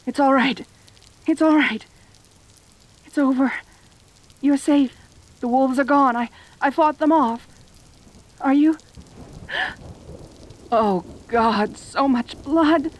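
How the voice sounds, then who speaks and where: A woman playing a lonely, possessive centaur girl in an intimate ASMR roleplay, tender and anxious, with a hesitant, pleading manner. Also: high-pitched. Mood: anguished, tender.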